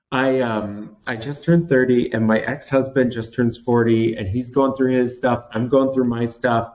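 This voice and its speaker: A man's voice: mid-range voice, darkish tone, muffled recording. A man in his thirties from Washington State, telling a personal story as an interview guest in a theatrical, self-mocking way with many restarts. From Washington State, USA. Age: 30s. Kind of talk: candid storytelling in conversation.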